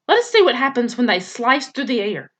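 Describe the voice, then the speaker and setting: Calm robot mama, talks all proper and even, lady reading it. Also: fairly high pitch. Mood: instructive. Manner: plain measured formal speech. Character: patient female robot mother, calm and precise.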